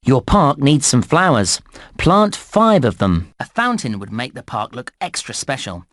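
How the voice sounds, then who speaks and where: A man's voice: mid-range voice, big pitch swings, unhurried, a bit bright, no echo, studio quality. A man voicing a cheerful, encouraging park guide in a children's game, with exaggerated, enthusiastic, instructional delivery. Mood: cheerful helpful. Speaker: voice actor narrating game instructions. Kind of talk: scripted children's game narration.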